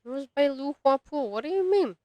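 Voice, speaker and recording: A woman's voice: sits high, expressive, a little fast, lo-fi sound, slight background noise. A woman live-streaming a game, tossing in casual, amused and teasing commentary.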